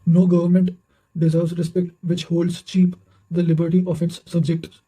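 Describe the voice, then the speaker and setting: A man with a high-pitched voice reads quotations and notes aloud. His tone is very dark and mellow, and his intonation stays quite even. The delivery is formal and a little halting, like someone working through a written text. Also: poor audio. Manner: formal, halting reading with occasional stumbles. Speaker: narrator reading study notes.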